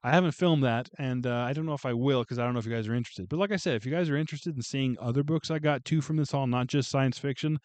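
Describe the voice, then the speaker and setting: A man's voice: medium pitch, fast, hardly any pauses, darkish tone, dry recording. A man casually going through a book haul on a video, chatting and joking with viewers as he reads out titles. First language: English. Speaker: booktube vlogger.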